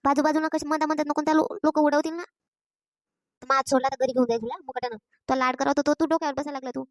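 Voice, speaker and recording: A woman's voice: sits high, steady tone, fast talker, no room sound, studio quality, soft background music, lightly acted. A woman voicing an exasperated, scolding village mother in a cartoon, with a rural Vidarbha Maharashtrian accent and a repetitive, complaining manner. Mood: fretting, nagging. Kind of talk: Marathi cartoon comedy voice acting.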